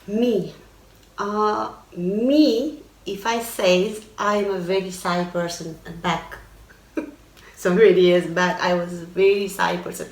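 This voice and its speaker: Guest: middle-aged Nepali-accented woman, lowish voice, hesitant, roomy distant sound. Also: a bit bright. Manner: halting, searching for words. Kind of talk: casual interview. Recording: echoey room, mic at a distance.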